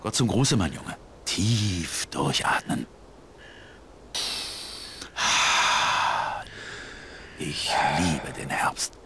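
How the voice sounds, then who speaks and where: An older man playing a kindly old village pastor in a game, measured, formal and genial. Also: low voice, animated, gravelly, fairly bright tone, slight background noise, full character voice. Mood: genial, serene. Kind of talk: scripted adventure game dialogue.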